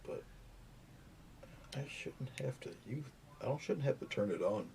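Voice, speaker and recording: A soft-spoken man with a low voice that does not project, talking casually to his live viewers as he paints at home. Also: lively intonation, measured pace, gravelly. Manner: soft-spoken, mumbling asides while working. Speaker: painting livestream host. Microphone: camera's built-in mic, not the lapel mic. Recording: noisy, low-volume webcam audio.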